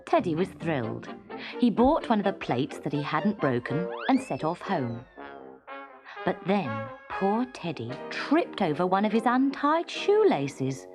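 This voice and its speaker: A British woman reading a children's story in an expressive, deliberate manner. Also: fairly low pitch, music in the background. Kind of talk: scripted children's story narration.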